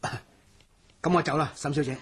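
An actress playing a humble, awkward messenger in a radio drama, hesitant and deferential, with a Hong Kong Cantonese accent. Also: female voice, low-pitched, fairly even intonation, fairly quick, husky. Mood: humble, startled.

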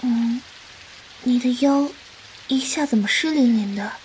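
An actress playing a sick, gentle young woman herbalist in a period wuxia audio drama, speaking softly, tenderly and wearily. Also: a little high, a little slow, slight background noise, natural voice, low-quality recording. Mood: concerned. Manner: gentle, weary, tender, coaxing.

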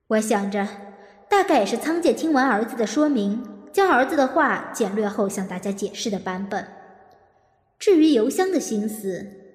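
An actress playing a troubled woman seeking revenge, narrating an audio drama in a deliberate, introspective way. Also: on the high side, animated, breathy edge, no room sound, soft background music. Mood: secretive, reflective.

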